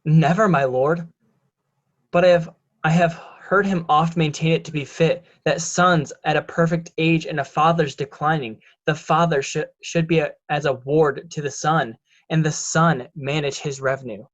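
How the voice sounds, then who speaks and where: A resentful, smoothly deceitful bastard son played by a man in a Shakespearean reading. The voice sits a little high for a man, and the delivery is deliberate and declamatory. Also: steady tone, muffled recording, lightly acted. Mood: sly, deferential.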